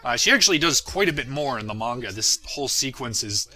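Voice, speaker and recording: A man with a strikingly bright, forward voice at an average pitch talks casually over his own fan parody. He is irreverent and self-deprecating, swears easily and tends to chuckle through his unscripted remarks. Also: lively intonation, quick pace. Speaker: creator giving commentary on own fan parody series. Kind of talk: casual unscripted video commentary.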